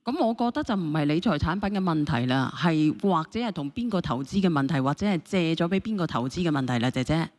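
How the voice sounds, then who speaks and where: A woman hosts a call-in show. Her voice sits a bit low and she talks quickly, pressing with question after question as she mediates. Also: lively intonation, darkish tone. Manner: questioning, persistent. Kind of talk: mediating a caller dispute, casual talk.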